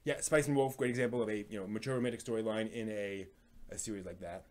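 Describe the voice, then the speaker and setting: A man hosting a live stream, chatting casually and giving recommendations in a halting way, often thinking aloud. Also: lowish voice, fairly even intonation, darkish tone. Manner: halting, thinks aloud, hums filler syllables while searching.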